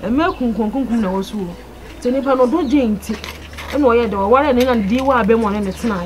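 A woman's voice: a little high, fast talker, natural voice. A woman with a Ghanaian accent playing an insistent talker in a drama dialogue. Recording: noisy ambient location sound.

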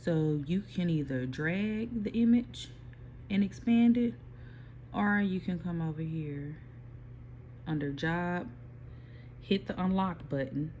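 A mid-pitched, easygoing voice explains a computer workflow one step at a time, pausing and restarting as it goes. It sounds picked up by a phone held toward a screen in an ordinary room. A faint hum of background noise sits under the speech. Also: darkish tone. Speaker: small print shop owner giving a software tutorial. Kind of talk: casual screen-recorded tutorial. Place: indoor workspace at a computer. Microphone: phone filming a computer screen.

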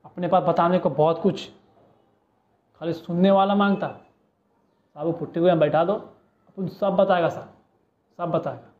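A man's voice: sits high, steady tone, unhurried, long pauses. A man acting a cheeky small-time crook with a Mumbai street accent, bargaining and pleading in a police interrogation monologue. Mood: cocky, bargaining. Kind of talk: audition monologue, film-style street-slang acting. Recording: muffled, narrow phone-like sound.

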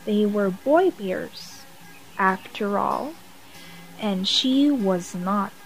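A woman reading a children's picture book aloud as a storyteller, expressive and deliberately phrased for young listeners. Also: mid-range voice, faint music behind, rough recording. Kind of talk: bedtime story read-aloud.

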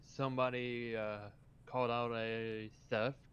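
A man playing a polite, measured police officer in a game roleplay, speaking formally and hesitantly over voice chat. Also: medium pitch, level tone, a bit bright, smooth, highs cut off, natural voice. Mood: hesitant, diplomatic.

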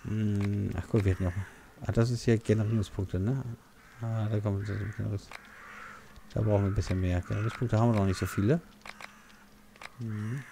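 A man's voice: fairly low pitch, fairly even intonation, fairly quick, vocal fry, warm tone, slight background noise. A man with a German accent giving casual let's play commentary, muttering half-sentences to himself and exclaiming during fights.

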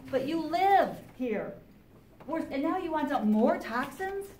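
A middle-aged woman, a chaplain and activist, giving emphatic, deliberate public testimony at a meeting. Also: high-pitched, animated, a little mellow. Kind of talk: public testimony, reading a statement and appealing. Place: public library meeting room, indoors. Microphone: camera a few metres away. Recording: echoey meeting room, distant camera, faint noise.

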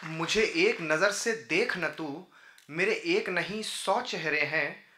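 A man's voice: fairly high pitch, slow pace, noisy background. A man recites poetry in a declamatory, rhythmic way at a podium microphone. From India. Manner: declamatory, rhythmic repeated phrasing. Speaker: poet. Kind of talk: live Urdu-Hindi poetry recitation. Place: indoor auditorium stage at a podium. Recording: roomy auditorium sound through PA microphone.

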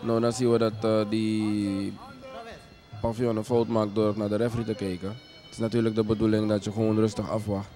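A man commentating on a kickboxing match in a hesitant, measured way, as a sports commentator does during a live bout. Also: on the low side, level tone, warm tone. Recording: music behind the commentary. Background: music.

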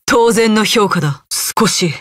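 A woman's voice: medium pitch, slow, slightly rough, dry recording, studio quality. A woman voicing a proud, stern, battle-hungry female ninja warrior in an anime game, with haughty, archaic, commanding speech. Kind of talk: Japanese anime video game voice acting.

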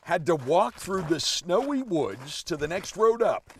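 A man narrating a local news report, measured and expressive. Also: a little high.

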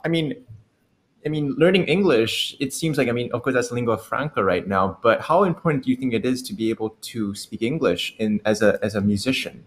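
A young man hosting a casual chat over a video call, friendly and quick to laugh, on an earphone mic at home. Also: medium pitch, expressive. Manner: friendly, chatty, laughs often. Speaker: host. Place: home room.

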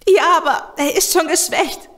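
Brief: actress as anxious captive woman, high voice, audio drama. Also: normal speed, fairly bright tone. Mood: worried. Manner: worried, restless. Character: Laura, anxious young woman trapped in a cell. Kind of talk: amateur audio drama acting.

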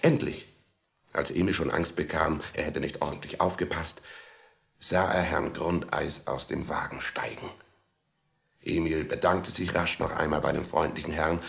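Male radio-play narrator, extremely deep and gravelly, expressive scripted storytelling with dramatic flair. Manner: dramatic storytelling, voicing a boy's thoughts.

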